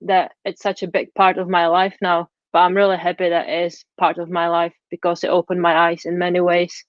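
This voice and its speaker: A woman's voice: lowish voice, brisk, no room sound. Czech-accented young woman on a dull call line. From Czech Republic. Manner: relaxed, frank, slightly non-native grammar. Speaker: guest blogger. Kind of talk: casual interview answers. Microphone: earbuds on a video call.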